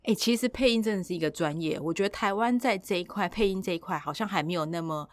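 A woman with a Taiwanese accent chatting casually and colloquially as a podcast host, explaining things in an animated way. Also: mid-range voice, fast talker, dry recording. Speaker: podcast host and audiobook producer.

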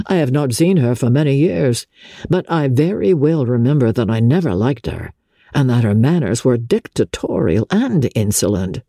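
A woman plays a well-mannered young gentleman in a storybook reading, confiding and quietly critical. The delivery is measured, in a mellow, husky voice recorded dry and close. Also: very deep voice, big pitch swings, no echo, heavily acted. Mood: disdainful, measured.

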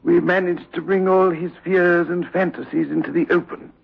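An actor playing a composed, compassionate psychiatric doctor, gravely reflective, in a 1960s radio drama on an old recording. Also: male voice, high voice, steady tone, normal speed, highs cut off, heavily acted.

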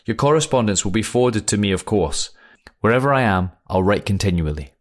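A man's voice: fairly low pitch, animated, brisk, close to an everyday voice. A man reading as a young airman writing home. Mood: earnest. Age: young adult. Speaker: letter reader. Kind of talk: reading a wartime letter aloud.

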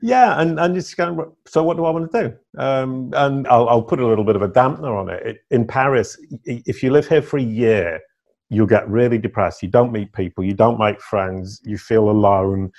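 A man's voice: mid-range voice, normal speed, gravelly, muffled recording. A middle-aged man, a guest telling stories in a casual interview with playful emphasis. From England. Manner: animated storyteller, playful emphasis.

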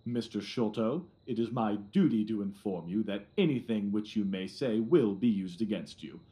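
A man's voice: on the low side, moderate pace, mellow, a little hiss or hum, lightly acted. A man playing a pompous, blustering Victorian police inspector in an audio drama, smug, officious and self-satisfied.

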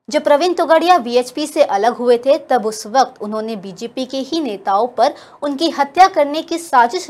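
High-voiced woman news anchor. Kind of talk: scripted news narration.

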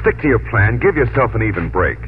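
Blunt, low-voiced exasperated man. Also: very animated, fast talker, slight background noise. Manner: emphatic, scolding, imperative. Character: blunt, exasperated American man urging practical sense. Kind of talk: 1950s radio drama acting. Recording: tinny old radio broadcast, background music.

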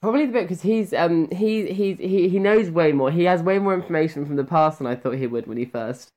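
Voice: mid-range voice, fairly quick, darkish tone. A voice actor chatting casually in an interview, rambling and hesitant with a giggly edge.

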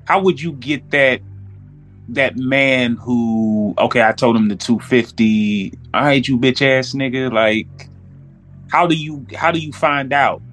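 A man with a mid-pitched voice talks casually on a podcast, with music in the background. He questions haltingly, feeling his way through each thought out loud. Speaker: podcast speaker.

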